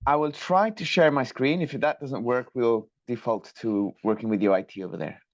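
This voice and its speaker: A man presents a technical lecture over a video call. His mid-range voice is measured and courteous, with small academic hesitations. The audio is dry and slightly dull, like a conferencing line. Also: mid-range voice, dry recording. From Colombia. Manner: measured, polite, academic, with fillers. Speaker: guest lecturer, structural engineer.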